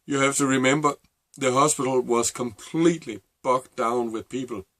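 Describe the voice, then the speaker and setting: Man, danish accent, middle-aged, halting and reflective, lots of pauses and fillers, medium pitch. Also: fairly even intonation, fairly bright tone, dry recording. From Denmark. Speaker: vlogger. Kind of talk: personal storytelling to camera.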